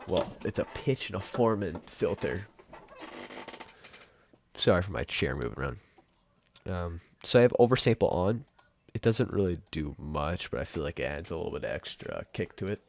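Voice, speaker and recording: Low-voiced young man, casual tutorial. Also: animated, normal speed, vocal fry, slight background noise. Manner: informal, rambling, mild swearing. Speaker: tutorial host. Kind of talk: casual screen-capture software tutorial. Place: room at home.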